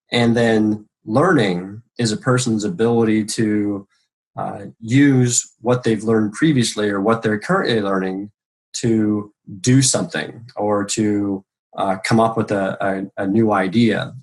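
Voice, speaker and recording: A middle-aged American man answering interview questions in an online talk, speaking with many pauses and restarts. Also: fairly low pitch, poor audio. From United States. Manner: halting, many ums and restarts. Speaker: education professor.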